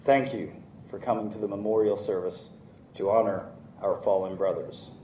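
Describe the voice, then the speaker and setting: A man's voice: on the low side, steady tone, moderate pace, warm tone. A man giving a formal memorial address, recorded at a distance with echo and faint noise. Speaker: speaker at a memorial service.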